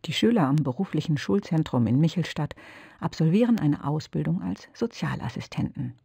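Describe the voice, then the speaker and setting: Male radio narrator, scripted, gravelly, mellow, calm. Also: a little high, a little fast, no room sound.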